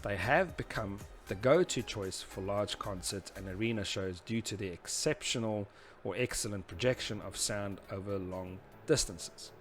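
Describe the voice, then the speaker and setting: Low-voiced man giving an informal tutorial. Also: moderate pace, soft background music. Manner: hesitant, explanatory, often saying "you know". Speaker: instructor presenting a tutorial series. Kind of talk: informal explanatory lecture talking through slides and diagrams.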